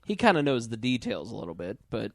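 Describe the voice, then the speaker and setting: Casting: male podcast host, medium pitch, casual and irreverent, blunt joker and questioner, dry sound. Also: huge pitch swings, fast talker, creaky, no echo. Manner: blunt, joking.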